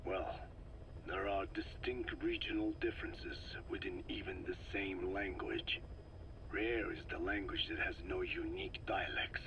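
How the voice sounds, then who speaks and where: An actor playing a frail, soft-spoken scientist in a video game, explaining slowly and formally in a breathy rasp. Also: male voice, deep voice, rough recording. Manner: slow, formal, measured, scholarly phrasing. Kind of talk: video game voice acting, recorded interrogation.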